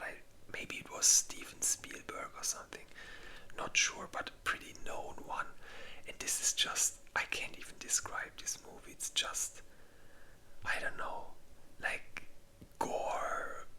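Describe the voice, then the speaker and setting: An ASMR creator speaking in a breathy whisper, ranking movies in an informal, halting, unhurried way. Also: extremely high pitch, bright, forceful tone.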